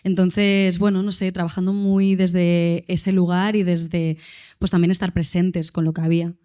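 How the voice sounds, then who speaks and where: A woman's voice: lowish voice, little pitch movement, a little slow, warm tone. A woman speaking on a panel in a reflective way, searching for words. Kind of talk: panel discussion.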